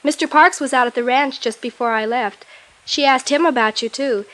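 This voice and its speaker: An actress playing a clever, direct young American woman in an old-time radio drama, brisk and knowing. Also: high-pitched, a bit bright. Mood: shrewd, direct.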